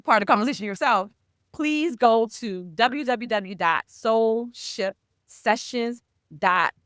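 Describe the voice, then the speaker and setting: A woman's voice: mid-range voice, a bit bright, no echo, studio quality. A woman hosting a podcast as a coach, upbeat and promotional, posing rhetorical questions to listeners. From Mexico.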